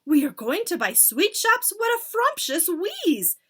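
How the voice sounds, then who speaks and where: A woman's voice: very high voice, huge pitch swings, a little slow, fairly bright tone, pitched above the performer's own voice, full character voice. A woman voicing a crowd of eager female witches who squeal in fawning delight.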